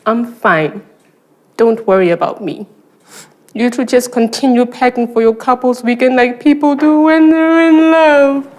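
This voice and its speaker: A woman's voice: on the high side, measured pace, darkish tone, a little hiss or hum, lightly acted. A heartbroken young daughter played by a woman in a TV sitcom, speaking. She is sulky, plaintive and melodramatic. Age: young adult. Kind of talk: TV sitcom acting.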